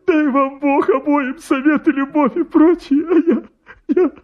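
Gushing old father, very high-pitched. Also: fairly even intonation, a little slow, dull audio. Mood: overjoyed, tearful. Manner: gushing, tearful, rambling with pet phrases. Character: effusive, fussy old landowner father of a marriageable daughter. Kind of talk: stage comedy acting in a radio play.

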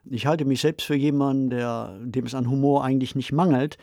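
Man, podcast co-host, measured analytical talk, formal wording, middle pitch, dry sound. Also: fairly even intonation, vocal fry, dark tone, no room sound. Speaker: podcast co-host discussing radio plays. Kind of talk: analytical conversational discussion.

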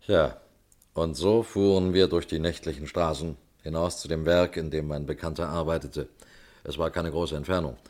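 A man playing a composed colonel who narrates and acts in a radio mystery, telling it in a measured way. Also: rumbling low, steady tone, a little mellow. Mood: composed storytelling. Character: composed male colonel narrating his own mystery case.

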